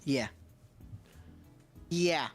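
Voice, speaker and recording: A man with a fairly high voice chats casually as a guest on a livestream. He explains things in loose, hesitant phrases, with faint music behind him. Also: extremely slow.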